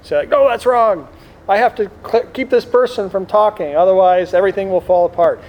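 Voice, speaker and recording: A man giving an informal religious talk to a gathering as a priest, reflective and halting, speaking up to be heard. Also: high voice, lo-fi sound. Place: indoor room with air conditioning. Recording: clear background air conditioner noise. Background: air conditioner noise.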